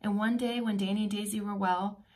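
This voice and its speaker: A woman preschool teacher reading a children's picture book aloud in an expressive, teacherly way with simple asides. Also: medium pitch, brisk.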